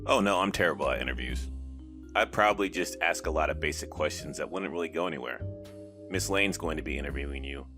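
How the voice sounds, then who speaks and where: An actor playing a mild, polite, self-deprecating newspaper reporter with sly humour in an audio drama. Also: male voice, very deep voice, quick pace, over music. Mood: amiable, teasing.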